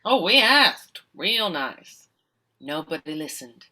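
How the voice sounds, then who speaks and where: A woman's voice: lowish voice, sing-song, takes it slowly, pushed and bright, exaggerated voice, low-quality recording. A woman playing a scrappy, menacing rat alien pirate with folksy, ungrammatical speech in a comic sci-fi script read. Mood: aggrieved.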